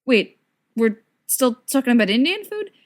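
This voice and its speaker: A woman's voice: fairly high pitch, big pitch swings, moderate pace, pitched above the performer's own voice. A woman voicing an earnest, flustered young man in an audiobook-style dialogue reading.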